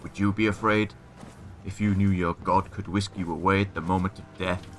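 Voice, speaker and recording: A man's voice: low voice, level tone, moderate pace, faint music behind, close to an everyday voice. A man playing a stern, weary guard captain in a fantasy audio drama, speaking formally and guardedly. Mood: guarded, firm.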